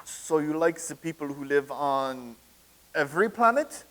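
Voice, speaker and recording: A man's voice: a little high, animated, measured pace, fairly bright tone. A man reading the part of a flustered soldier from a past war in a staged comedy reading, stammering with nervous restarts.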